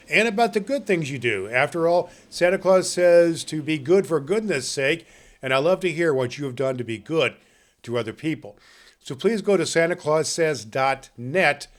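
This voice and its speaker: A man's voice: on the high side, lightly acted. A man playing a jolly, kindly old Santa Claus, hosting a festive podcast and reading storybook tales in a hearty, grandfatherly way. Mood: kindly cheerful. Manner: hearty, grandfatherly, addressing children warmly.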